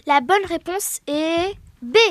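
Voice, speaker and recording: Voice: extremely high pitch, expressive, takes it slowly, heavy background noise. A child reading out scripted quiz questions and answers.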